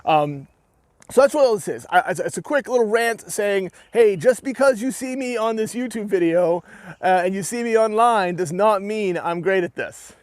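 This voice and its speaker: A man's voice: high-pitched, lively intonation, normal speed, no room sound. A young adult man with a New Hampshire accent, an armored combat fighter giving a casual, earnest rant to his video audience with many restarts. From New England. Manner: rambling and earnest, with frequent restarts and "right?" tags. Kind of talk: casual talking-to-camera rant.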